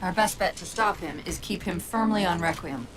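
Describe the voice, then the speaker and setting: A woman playing a quick-witted AI companion in a video game, speaking expressively and with urgency. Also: on the low side, natural voice, rough recording. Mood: wry, thoughtful. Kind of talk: video game cutscene acting. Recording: loud music behind the voice.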